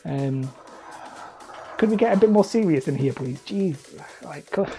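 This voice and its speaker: A man casually chatting on a livestream while he crafts hobby terrain, rambling and self-deprecating as he reads the chat aloud. He calls his own voice high and whiny. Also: lively intonation, unhurried, gravelly, mellow, soft background music. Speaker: hobby terrain-making streamer.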